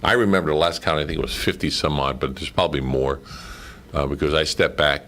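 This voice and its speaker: Male radio guest, low-pitched, mature, rambling storyteller in relaxed interview chat. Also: quick pace, slight background noise. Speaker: guest, former radio drama voice actor. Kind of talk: casual reminiscing in a radio interview.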